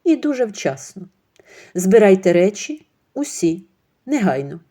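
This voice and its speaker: A woman's voice: medium pitch, big pitch swings, warm tone. A woman voicing a firm, angry young wife. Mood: determined. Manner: firm, clipped, measured.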